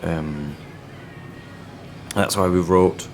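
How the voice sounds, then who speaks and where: A man from Denmark with a Danish accent, a band member answering interview questions in a reflective way, often pausing to search for words. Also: sits low, fairly even intonation, creaky, slight background noise.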